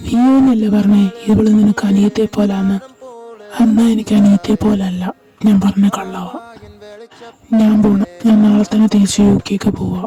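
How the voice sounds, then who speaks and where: A woman voicing an intense, possessive young man who confesses his love in a soft, halting voice. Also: medium pitch, flat delivery, lightly acted. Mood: resolute. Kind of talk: amateur audio drama. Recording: distant mic over clear music.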